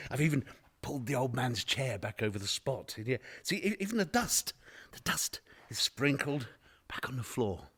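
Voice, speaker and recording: An actor playing a mad, obsessive, boastful murderer who narrates a radio play in a halting, theatrical way, moving between whispers and shouts. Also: male voice, medium pitch, animated, breathy edge. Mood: manic, gloating. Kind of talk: dramatic radio play monologue. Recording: live-recorded radio play.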